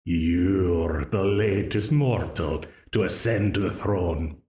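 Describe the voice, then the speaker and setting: Menacing demon voiced by a man for an audio drama: mocking, condescending, slightly low voice, unhurried. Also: mellow, echoey room. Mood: smug. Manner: mocking, condescending, laughs often. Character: smug ancient male demon dealmaker, menacing. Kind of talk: ASMR audio roleplay.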